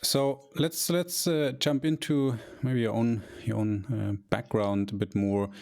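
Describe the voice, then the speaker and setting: A man hosting an interview, asking his guest questions in a halting way and often repeating words as he forms them. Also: mid-range voice, steady tone, vocal fry, a little mellow. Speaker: podcast host.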